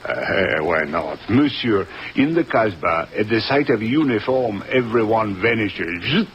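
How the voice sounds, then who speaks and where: Droll French police inspector, old radio. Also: male voice, fairly low pitch, lively intonation, unhurried, fairly bright tone. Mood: matter-of-fact. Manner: droll, urbane, mock-formal. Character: suave, wry French-speaking police inspector, worldly and unhurried. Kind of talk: old-time radio drama acting. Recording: muffled old radio recording, faint noise.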